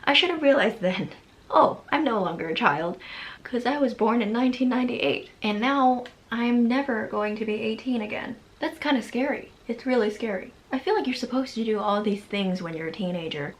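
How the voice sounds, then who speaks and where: A woman's voice: a little high, normal speed. A woman in her early twenties talking anxiously in a casual, rambling vlog, often laughing as she speaks. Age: 20s. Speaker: vlogger.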